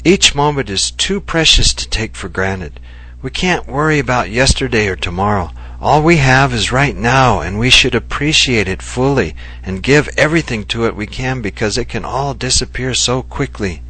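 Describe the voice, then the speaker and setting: A man doing a gentle, earnest Japanese wife. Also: mid-range voice, animated, normal speed, husky, pitched above the performer's own voice, rough recording. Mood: earnest, tender. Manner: earnest and heartfelt, softly reflective. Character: gentle Japanese woman, the narrator's loving wife.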